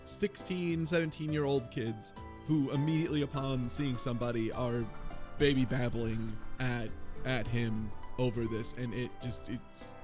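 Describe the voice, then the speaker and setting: A man's voice: mid-range voice, steady tone, a little slow. Sarcastic man chatting casually over music. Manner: sarcastic, mildly profane. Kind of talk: casual group chat about a video game. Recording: music behind the voice.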